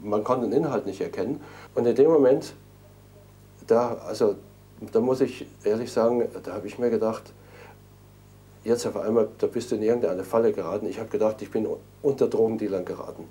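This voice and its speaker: A man's voice: fairly low pitch, brisk, warm tone. A man being interviewed, hesitant and full of fillers as he recounts events. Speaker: interviewee, prisoner. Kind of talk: recorded interview.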